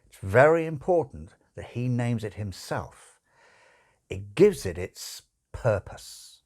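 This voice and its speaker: A man voicing a suave, sardonic male demon in an audiobook, urgent and brooding, with emphatic, drawn-out phrasing. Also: medium pitch, very animated, darkish tone, voice pushed up, exaggerated voice. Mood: explanatory, ominous.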